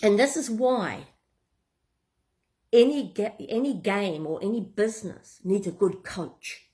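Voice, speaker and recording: A woman with a New Zealand accent, giving business advice in a relaxed, conversational way with many fillers and restarts. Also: medium pitch, a little slow. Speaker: business coach. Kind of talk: talking-head business tips.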